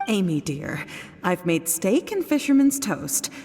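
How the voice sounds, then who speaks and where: A woman voicing a gentle, doting mother in a video game who plays along with theatrical speech. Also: on the low side, sing-song, measured pace, in a large hall. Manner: theatrical, archaic phrasing.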